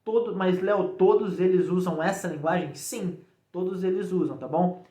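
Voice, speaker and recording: A man's voice: high-pitched, moderate pace, dark tone. A man with a Brazilian accent giving a casual, informal explanation to viewers, often checking in with short tags. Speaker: programming tutorial YouTuber. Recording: echoey untreated room.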